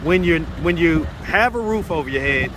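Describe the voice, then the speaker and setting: A man's voice: fairly high pitch, expressive, normal speed. A man hosting a street interview, asking direct, probing questions in casual slang. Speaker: street interviewer and channel host. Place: outdoors on a city street. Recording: street traffic noise outdoors.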